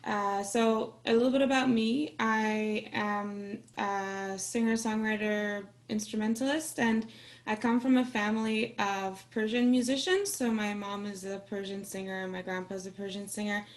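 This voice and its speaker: A woman from Austria whose first language is Farsi, introducing herself in a relaxed, friendly way on a video call. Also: medium pitch, normal speed. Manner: friendly, relaxed, laughs now and then. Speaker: workshop participant. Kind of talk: casual self-introduction.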